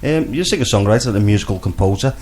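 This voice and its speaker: A man's voice: lowish voice, animated, very fast, a little mellow, slight background noise. A man hosting a casual live radio interview with musicians.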